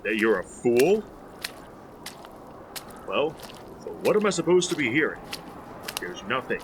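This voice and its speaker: An actor playing a middle-aged, condescending scientist husband in a 1940s style radio drama, with a melodramatic delivery. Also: male voice, mid-range voice, very animated, measured pace, noisy background. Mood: cold contempt. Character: cold, contemptuous scientist husband unravelling into panic.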